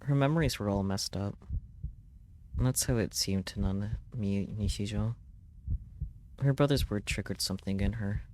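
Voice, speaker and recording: A man's voice: on the low side, normal speed, long pauses, a little mellow, slight background noise. A man reading a visual novel's script aloud as a streamer, in a dramatic storytelling style. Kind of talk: dramatic read-aloud narration of a game script.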